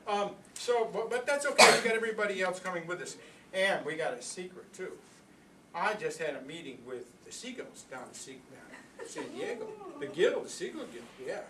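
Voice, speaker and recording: In an echoey room, a little far from the mic, an older man plays an old rooster telling a comic monologue. The voice is high for a man, and the delivery is folksy, earnest and rambling, like someone proudly sharing a philosophy of life. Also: lively intonation, moderate pace. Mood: conspiratorial, indignant. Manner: folksy, rambling, conversational storytelling with repeated phrases. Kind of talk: comic monologue performed live for an audience. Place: indoor workshop room before a group. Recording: echoey room, distant mic, background noise.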